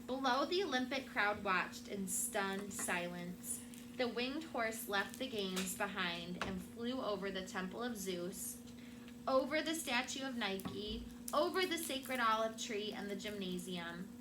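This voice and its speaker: A woman's voice: mid-range voice, animated, measured pace. A woman teacher reading a storybook aloud to young children with dramatic, emphatic phrasing. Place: classroom. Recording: noisy room with background ambience.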